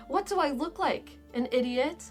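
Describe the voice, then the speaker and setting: Woman playing a high-voiced, snide dragon girl. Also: lively intonation, slow pace, soft background music. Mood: sarcastic scorn. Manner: snide, impatient, scoffing. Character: sarcastic, irritable young female dragon warrior. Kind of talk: fan fiction audio drama acting.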